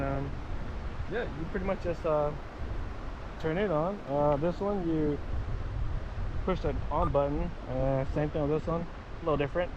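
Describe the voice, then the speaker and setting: A man giving an informal, unscripted how-to in a halting, casual way, often repeating himself. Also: a little high. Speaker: vlogger demonstrating handheld radios. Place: outdoors near water. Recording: noisy outdoor wind and water ambience.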